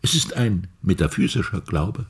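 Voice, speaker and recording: Old male professor, radio drama, lowish husky voice, very animated intonation, philosophical, testy. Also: heavily acted. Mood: philosophical, pontificating. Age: older. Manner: deliberate, professorial, rhetorical. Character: elderly German science professor, evasive, philosophical, testy. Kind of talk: radio play acting.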